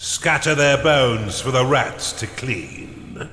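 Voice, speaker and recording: A man playing a sardonic, pompous dark advisor in a video game, delivering scripted narration in a theatrical way. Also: medium pitch, lively intonation, slow, echoey room, in a large hall. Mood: menacing, mocking.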